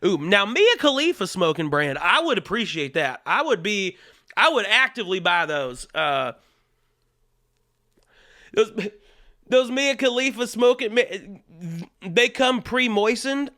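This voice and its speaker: A man's voice: high voice, animated, a little slow, pushed and bright, no echo, clean studio sound. A man hosting a comedy show in a put-on Southern US accent, talking casually in a crude, profane, joking monologue.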